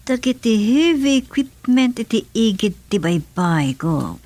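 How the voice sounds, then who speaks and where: An actress playing an inquisitive, expressive woman in a radio serial drama. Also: a little high, a little slow, exaggerated voice. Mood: impressed.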